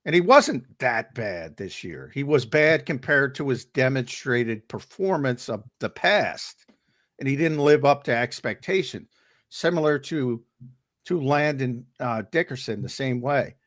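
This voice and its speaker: A man on a sports talk show, his voice a little hoarse and worn. He argues with emphasis and often stumbles into a point by repeating its first words. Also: medium pitch, animated, measured pace, a bit bright. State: losing his voice. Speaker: co-host and analyst.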